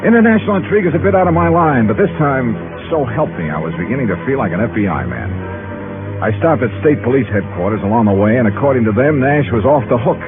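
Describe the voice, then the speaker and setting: An actor playing a tough, wry American investigator in a 1950s radio detective drama, sardonic and punchy. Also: male voice, mid-range voice, sing-song, fast. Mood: wry narration. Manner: hard-boiled, sardonic, punchy. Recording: muffled 1950s radio broadcast, organ music.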